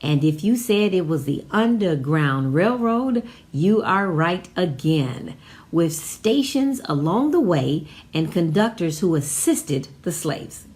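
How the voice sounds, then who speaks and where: A woman's voice: fairly low pitch, big pitch swings, takes it slowly, darkish tone. An American woman hosting an informal educational vlog, partly reading aloud and partly ad-libbing, with an emphatic delivery that repeats phrases. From Chicago, United States. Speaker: vlog host.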